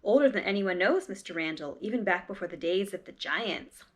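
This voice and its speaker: A woman's voice: mid-range voice, lively intonation, brisk, close to an everyday voice. A woman reading aloud as an older Scottish landlady in a storybook, speaking in a folksy, earnest way. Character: older Scottish landlady, brisk folklore believer.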